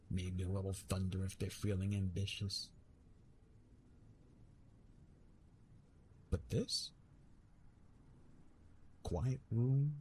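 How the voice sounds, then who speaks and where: A man voicing a weary, wry retired god of love in an ASMR roleplay, with dramatic, halting delivery and repeated words. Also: low voice, darkish tone, a little hiss or hum, voice pushed down. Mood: wry curiosity.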